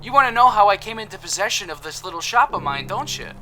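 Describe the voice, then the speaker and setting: A man's voice: sits high, animated, quick pace, bright, forceful tone, a little hiss or hum. An actor playing a cheerful, boastful male apothecary suspect in an audio drama, with a chipper, self-satisfied, theatrical manner. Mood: smug.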